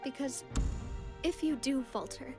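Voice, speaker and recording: A woman's voice: high-pitched, measured pace. A woman acting an earnest young leader in a video game, wounded yet resolute and heartfelt. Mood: sorrowful, doubting. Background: game music.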